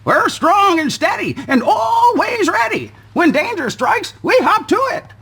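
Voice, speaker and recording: A man's voice: extremely high pitch, sing-song, unhurried, gravelly, bright, forceful tone, pitched above the performer's own voice, exaggerated voice. A man voicing a bouncy, eager kangaroo rescuer from a storybook, chirping in rhythmic rhyme. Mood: eager, upbeat. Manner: chirpy, rhythmic rhyming delivery. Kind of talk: storybook character voice.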